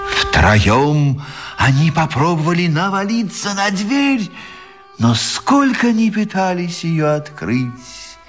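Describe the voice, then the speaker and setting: An actor as a storyteller, narrating a folk tale in a theatrical, measured, dramatic style. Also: male voice, a little high, big pitch swings. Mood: suspenseful telling. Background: music.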